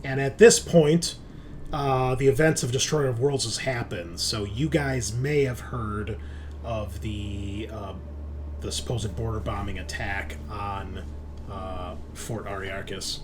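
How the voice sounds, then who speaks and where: Man game-mastering over clear background noise. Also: on the low side, takes it slowly. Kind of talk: narrating a tabletop roleplaying game, explaining lore.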